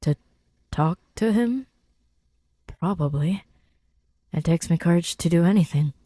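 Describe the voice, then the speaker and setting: Casting: female audiobook reader, lowish and husky-breathy, subdued, halting, intimate storytelling. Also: animated, mellow, no echo. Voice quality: breathy, often near a whisper. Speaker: podfic reader. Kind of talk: scripted fiction narration, intimate and subdued.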